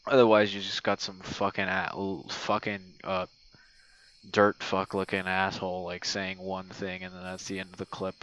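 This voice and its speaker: Man, fairly low pitch, casual ASMR voice message, rambling, hesitant, informal, night insects in the background. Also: completely flat delivery, normal speed, a bit bright, poor audio. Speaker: content creator recording an audio message. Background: crickets and night insect sounds.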